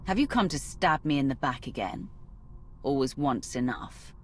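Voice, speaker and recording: A woman's voice: a bass voice, expressive, moderate pace, a little hiss or hum. An actress playing a ruthless, imperious woman commander in a video game, speaking with cold, commanding menace. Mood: menacing, sardonic. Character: ruthless, imperious female Sith commander of a fleet. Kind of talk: video game cutscene acting.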